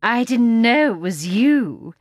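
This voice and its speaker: An enormous, kindly male lion, played by a woman reading a storybook. He speaks in a mincing, slow and drawn-out way, a little raised in pitch. The sound is dry and close. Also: animated, no room sound. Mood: genial.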